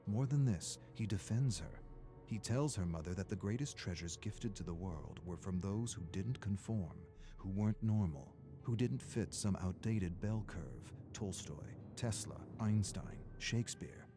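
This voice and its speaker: A man narrating a scripted story in a measured, dramatic storytelling manner. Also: sits low, expressive. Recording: music under the voice. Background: background music.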